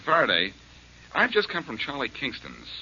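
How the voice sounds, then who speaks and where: An actor playing a breezy, wisecracking detective in a 1940s radio drama. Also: male voice, mid-range voice, wildly swooping pitch, moderate pace, bright, forceful tone, slightly rough, full character voice. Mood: wry. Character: wisecracking adult male detective, cool and confident. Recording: dull old radio recording with faint hiss.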